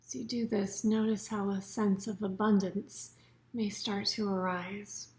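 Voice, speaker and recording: A woman teaching a guided yoga nidra meditation in a soothing, unhurried way, her phrases often trailing off into soft breath. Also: mid-range voice, level tone.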